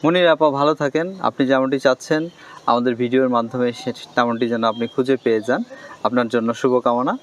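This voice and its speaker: A man's voice: medium pitch, steady tone, quick pace. A man with a Bangladeshi accent hosting an interview, addressing viewers formally and putting questions to a guest. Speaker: interviewer, host.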